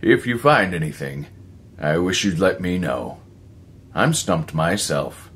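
A man's voice: low-pitched, lively intonation, slow, a bit bright, a little hiss or hum, full character voice. A man voicing a rueful, guilt-ridden scientist of sixty in a story, sympathetic and resigned. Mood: wry.

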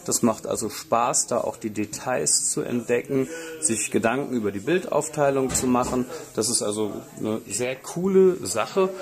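A middle-aged man talks into a camera held at arm's length, chatty and upbeat as he addresses viewers. His pitch sits in the middle range. People chatter in the background. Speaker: vlogger. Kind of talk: casual vlog commentary to camera. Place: outdoors and inside a busy café. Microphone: selfie camera at arm's length. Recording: crowd chatter behind.

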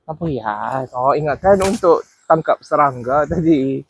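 A man's voice: a little high, expressive, unhurried, creaky, a little hiss or hum. A Malaysian man with a Malaysian accent chatting outdoors in rice paddies, curious and asking questions, repeating answers back. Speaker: vlogger. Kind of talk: casual walking vlog chat. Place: outdoors in rice paddy fields. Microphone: body-worn action camera.

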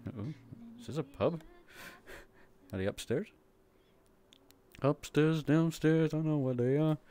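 A man's voice: fairly high pitch, warm tone, soft background music. A man streaming in a virtual world, chatting casually and laughing often. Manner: giggly, teasing, quick to laugh. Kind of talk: casual livestream banter.

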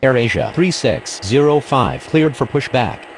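A man playing a polite air traffic radio voice in a game, using measured, procedural scripted phraseology. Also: mid-range voice, expressive, gravelly.

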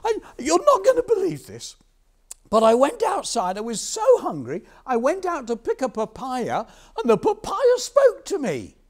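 An excitable storybook farmer, played by a male storyteller, pitched very high and swooping with alarm. He insists on his tale in a breathless, panicky way, as if nobody will believe him. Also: moderate pace, heavily acted.

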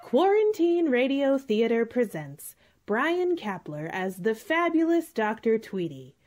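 A woman's voice: a little high, very animated, unhurried, a little mellow, faint music behind. A woman announcing and narrating an old-time radio comedy from a script.

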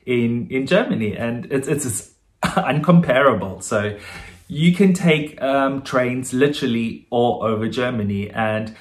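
This is a man with a South African accent who is talking casually to viewers. He has a mid-pitched voice and a relaxed manner, with lots of fillers and quick laughs between his thoughts. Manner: chatty, with frequent fillers and laughs; switches between German and English. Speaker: vlogger. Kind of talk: casual talk to camera.

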